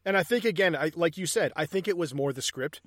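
A man's voice: a little high, expressive, quick pace, a bit bright, no echo, studio quality. A man co-hosting a casual movie review chat, walking through scenes and swearing casually. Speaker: movie review podcast co-host.

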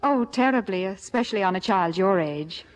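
An actress playing a wry, sensible wife and mother in a 1950s radio comedy, dryly sarcastic and gently scolding. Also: medium pitch, lively intonation, moderate pace, lightly acted, poor audio. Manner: dry, sarcastic. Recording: old 1950 radio broadcast.

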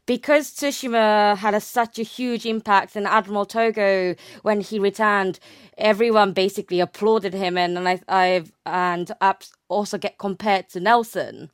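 A woman's voice: medium pitch, steady tone, moderate pace, smooth, no room sound, clean studio sound. A young woman from Japan talking conversationally as an academic guest, explaining things with hedged enthusiasm. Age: young adult. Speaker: academic guest, naval history researcher.

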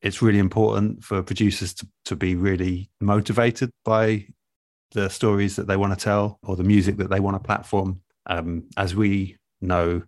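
A man with a low voice talks as a guest in a relaxed interview. He is thoughtful and articulate, measuring his answers with pauses. The sound is dry, with very little room to it. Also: no echo. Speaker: guest, radio and podcast producer.

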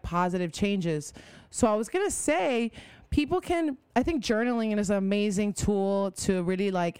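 A young woman chats enthusiastically as a podcast guest, rambling and full of "like." Her voice is smooth and clear, recorded close and dry. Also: mid-range voice, warm tone, dry recording. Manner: enthusiastic, rambling, many "like" fillers. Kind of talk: casual conversation.

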